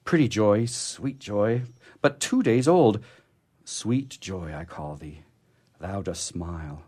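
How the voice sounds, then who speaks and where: A man hosting a poetry program, speaking in a halting, expressive way as he talks casually and reads verse. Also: lowish voice, husky. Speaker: radio show host reading poetry. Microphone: studio microphone he drifts away from.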